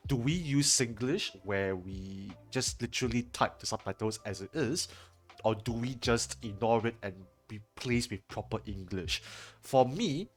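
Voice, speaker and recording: A man's voice: mid-range voice, lively intonation, a little slow, soft background music. A young man with a Singaporean accent chatting casually to his stream viewers, rambling with lots of fillers and restarts. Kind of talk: casual chat answering viewer chat while editing. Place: home room, indoors. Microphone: headset.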